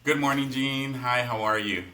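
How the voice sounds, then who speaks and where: A man's voice: medium pitch, fairly even intonation, moderate pace. A man hosting a business podcast, chatty and friendly, often tagging questions with "right?"; Spanish was his first language. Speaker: podcast host. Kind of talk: conversational interview.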